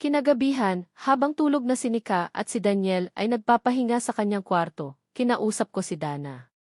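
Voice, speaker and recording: A woman's voice: fairly high pitch, lively intonation, moderate pace, a little mellow, no room sound, clean studio sound. A woman reading a scripted first-person romantic drama as a story narrator.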